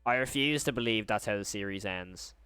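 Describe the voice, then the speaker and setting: A man's voice: lowish voice, fairly quick, bright, forceful tone, clean tone, no echo. A man giving casual reaction commentary, informal and exclamatory, often repeating himself. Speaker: host of horror reaction channel.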